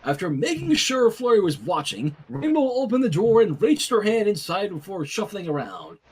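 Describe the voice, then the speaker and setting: A man reading fan fiction aloud in an animated style, with casual asides. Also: high voice, normal speed, breathy edge, low-quality recording.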